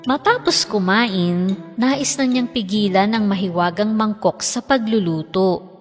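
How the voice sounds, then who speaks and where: A woman's voice: mid-range voice, a little slow, long reverb tail, faint music behind. A woman narrating a scripted children's fairy tale.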